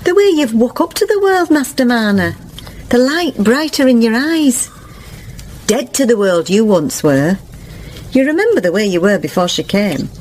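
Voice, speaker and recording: A woman's voice: fairly high pitch, very animated, moderate pace, a little mellow, slight background noise. An actress playing a kindly, pious village woman, homely and earnest, in a period radio drama.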